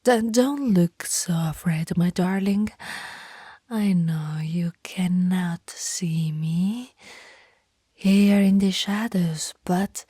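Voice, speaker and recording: A woman's voice: fairly low pitch, dark tone, no echo, clean studio sound, full character voice. A woman voicing a lovestruck female vampire in a whispered ASMR roleplay, courtly and formal, menacing yet apologetic. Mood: soft menace, soothing. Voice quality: breathy, largely whispered. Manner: slow, formal, old-fashioned romantic phrasing.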